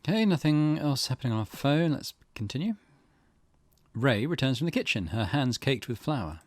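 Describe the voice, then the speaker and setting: A man giving let's play commentary and reading game text aloud, thinking out loud as he goes. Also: mid-range voice, very animated, moderate pace, dry recording. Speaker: gaming channel host. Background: game music.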